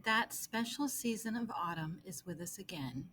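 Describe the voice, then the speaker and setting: A woman reading an article aloud as scripted narration, with measured, deliberate phrasing. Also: fairly low pitch, expressive, fairly bright tone, slightly rough.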